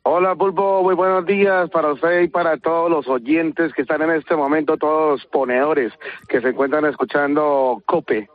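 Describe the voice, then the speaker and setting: A man's voice: on the high side, fairly even intonation, normal speed, no echo. Colombian man on a tinny phone line. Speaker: radio show caller, delivery driver and radio broadcaster. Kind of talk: phone conversation on air. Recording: tinny narrow telephone line.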